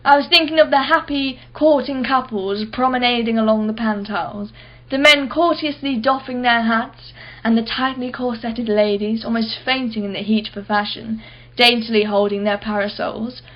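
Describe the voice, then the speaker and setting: A woman's voice: a little high, fairly even intonation, normal speed, dull audio, close to an everyday voice. An actress playing an imperious, wealthy businesswoman in a radio play, guarded and impatient, speaking in a clipped, formal way. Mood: wistful, reflective.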